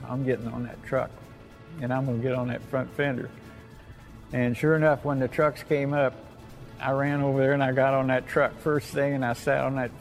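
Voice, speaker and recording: An elderly American man recalls his service as a veteran. His voice is gravelly and mid-pitched, with a plainspoken, folksy delivery that circles back on phrases. Music plays behind him. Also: rough recording. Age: 80s or older. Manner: plainspoken, folksy, repeats himself. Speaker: war veteran. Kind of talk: oral history reminiscence.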